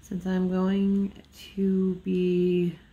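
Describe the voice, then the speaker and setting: A woman's voice: fairly low pitch, completely flat delivery, extremely slow, mellow, lo-fi sound. A woman just woken up, chatting in a rambling, nasal voice with fillers and small laughs while she works on a craft at a table indoors. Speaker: diamond painting vlogger.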